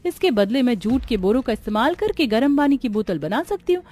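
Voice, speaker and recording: A woman's voice: fairly high pitch, very animated, fairly quick, darkish tone. A woman voicing a resourceful, practical young daughter-in-law in a cartoon story. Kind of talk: Hindi cartoon story acting.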